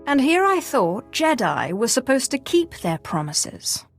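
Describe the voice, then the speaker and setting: A woman's voice: sits high, very animated, a little slow, soft background music. An actress playing a confident young female Sith in a sci-fi video game, dry and teasing. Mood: wry. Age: young adult.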